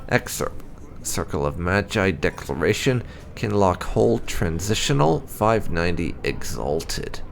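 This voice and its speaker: Deep-voiced, gravelly man reading game lore. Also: big pitch swings, a little slow, slight background noise. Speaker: gameplay channel host.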